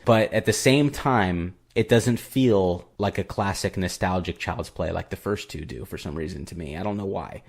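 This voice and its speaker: A man's voice: low-pitched, a little mellow. A young man co-hosting a podcast, casually retelling a horror film with lots of fillers and restarts. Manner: rambling, filler-heavy retelling. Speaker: podcast co-host. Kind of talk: casual movie review chat.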